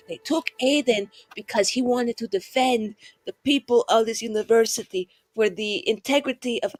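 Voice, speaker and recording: A woman's voice: mid-range voice, a bit bright, rough recording. A woman playing a tabletop roleplaying game, improvising and chatting casually in a rambling, sweary, exasperated way.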